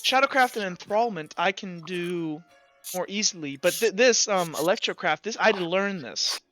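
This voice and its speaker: Female game master, bright voice, casual improvised narration with frequent self-corrections. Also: fairly low pitch, lively intonation, moderate pace, no room sound, soft background music.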